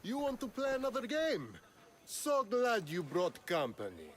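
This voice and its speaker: Smug, high-voiced military commander. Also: male voice, huge pitch swings, measured pace, a bit bright, a little hiss or hum, heavily acted. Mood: mocking. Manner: mocking, commanding. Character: smug, sardonic ancient Greek military commander, gambling creditor. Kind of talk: video game dialogue acting.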